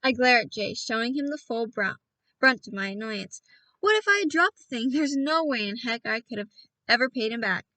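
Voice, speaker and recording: A woman's voice: fairly high pitch, animated, moderate pace, bright, forceful tone, no echo, far from the mic, low-quality recording. A woman reading a novel aloud as a casual audiobook, chatty and playful, often stopping to talk to her listeners. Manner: chatty, self-interrupting, playful with voices. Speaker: audiobook reader.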